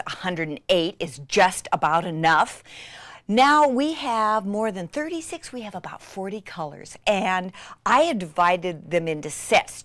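A middle-aged woman presenting a chatty craft tutorial in an excitable, gushing manner with dramatic emphasis. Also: mid-range voice, no room sound.

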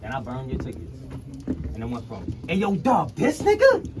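A man's voice: mid-range voice, wildly swooping pitch, moderate pace, noisy background. A young man from New York rapping a confrontational battle verse in a crowded indoor room. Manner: confrontational, storytelling. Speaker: battle rapper. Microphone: handheld camera at close range. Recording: crowd chatter in a packed room.

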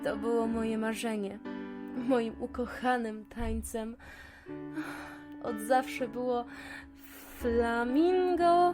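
Woman acting a slow, high-voiced wistful flamingo. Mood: nostalgic. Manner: earnest, emotive, slow confiding delivery. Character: sad, wistful female flamingo star who fled the zoo. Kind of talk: children's audio fairy tale acting.

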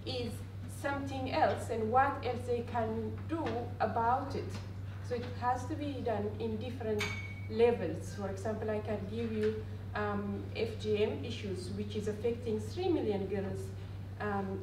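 Woman, halting earnest panel talk, echoey room, distant mic. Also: mid-range voice, fairly even intonation. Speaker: panel speaker on community health. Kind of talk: panel presentation, explaining with examples. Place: conference room panel table, indoors. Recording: roomy, distant mic, clear background noise.

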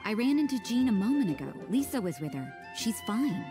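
A woman's voice: on the high side, fairly quick, over music. A woman voicing an aloof adult nun in a game cutscene, talking curtly in short clipped lines. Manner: curt, flat, clipped. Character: cool aloof adult nun, blunt and terse.

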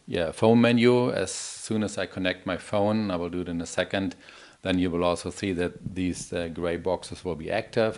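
A middle-aged man giving a live product demo in a halting, explanatory manner. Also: sits low. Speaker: product demonstrator.

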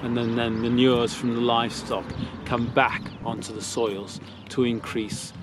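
Farmer talking in an open field. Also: male voice, mid-range voice, level tone, noisy background. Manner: measured, plain explanatory talk with small fillers. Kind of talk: explaining farm practices on camera. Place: open farm field outdoors.

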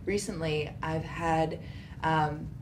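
A woman talks casually and in detail as a patient. Her voice sits a little low, with noticeable room echo and faint noise behind it. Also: steady tone, slow pace. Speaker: patient, yoga teacher. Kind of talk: casual, detailed account of body complaints. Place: small carpeted treatment room. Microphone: boom microphone on a stand. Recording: roomy sound with background hiss.

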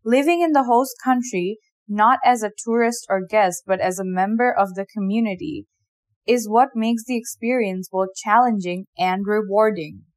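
A woman giving a scripted, formal read-aloud as a model reader, with measured, careful phrasing. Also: mid-range voice, no room sound, studio quality. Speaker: sample reader for English test practice.

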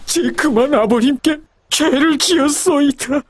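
An actor playing an earnest, respectful grown son in an animated folk tale, with a North Korean accent. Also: male voice, very high voice, a little slow. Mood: ashamed. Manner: deferential, earnest. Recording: music behind the voice.

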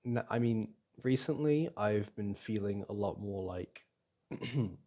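Man playing a mellow, husky, caring young boyfriend. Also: lowish voice, lively intonation. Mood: relaxed, reflective. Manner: halting, gentle, full of sighs and restarts. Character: caring, reassuring boyfriend comforting his upset partner. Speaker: audio roleplay performer. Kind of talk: intimate ASMR boyfriend roleplay.

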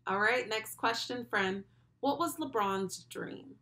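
A young woman hosting a children's lesson, speaking directly to the child with animated, encouraging praise. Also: a little high, takes it slowly, heavy background noise. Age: young adult. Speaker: children's read-along host and school speech therapist. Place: small furnished room at home, indoors.

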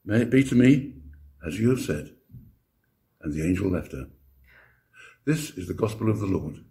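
A man's voice: low voice, expressive, moderate pace, mellow. An older man reading scripture and preaching as a lay minister in a church. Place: church interior.

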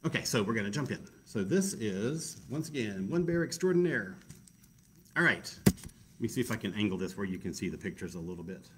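A man's voice: on the low side, sing-song, brisk, a little hiss or hum. A man reading a picture book aloud to children in a casual, chatty way, with self-deprecating asides. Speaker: library storytime reader.

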